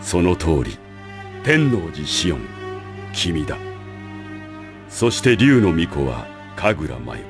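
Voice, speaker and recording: A man playing a calm, scheming school director, measured and formal, in an anime audio drama. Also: extremely low pitch, over music, full character voice. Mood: calm, calculating. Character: calm, calculating male school director with secret plans.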